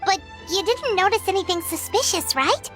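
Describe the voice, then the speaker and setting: A woman voicing a tiny, excitable fairy companion with a squeaky, childlike voice in an anime game. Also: moderate pace, faint music behind. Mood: worried.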